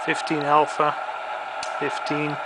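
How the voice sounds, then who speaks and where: A man's voice: fairly high pitch, monotone, slow pace. A man giving a casual, laid-back hands-on demonstration of radio equipment, with understated commentary. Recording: very noisy, radio static behind voice. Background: CB radio hiss and static.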